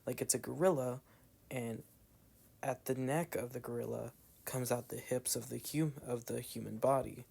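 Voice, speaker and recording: A man's voice: mid-range voice, fairly even intonation, normal speed, gravelly, darkish tone, breathy edge. A young man with a Southern American accent, chatting in a meandering way while playing a video game. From Southern United States. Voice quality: meandering with frequent "like" fillers and trailing asides. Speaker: gameplay commentator. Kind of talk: rambling casual commentary.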